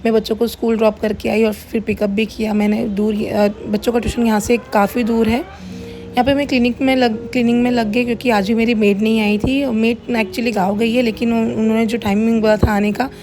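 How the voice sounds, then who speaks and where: A middle-aged woman narrating a casual home-kitchen vlog in a plain, everyday way, her voice slightly hoarse as if under the weather. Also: a little high, flat delivery, fairly quick, mellow. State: mildly unwell, voice a little hoarse. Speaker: home cook running a cloud kitchen, vlogger. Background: background music.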